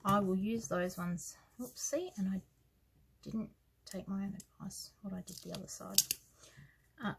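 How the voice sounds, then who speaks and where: Australian woman, hoarse and croaky today, slow soft-spoken planner chat with quiet asides. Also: mid-range voice, fairly even intonation, very noisy. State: scratchy, croaky voice. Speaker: planner vlogger. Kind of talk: casual planner walkthrough.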